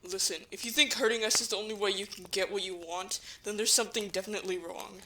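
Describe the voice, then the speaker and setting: A woman's voice: medium pitch, steady tone, quick pace, background noise, lightly acted. A woman playing a brave, protective young hero in an audio drama, speaking in an earnest, firm way. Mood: defiant.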